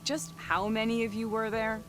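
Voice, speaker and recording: A woman's voice: fairly high pitch, little pitch movement, normal speed, over music, lightly acted. An actress playing a self-assured female warrior and loyal friend in a video game. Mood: concerned. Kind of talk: video game character acting.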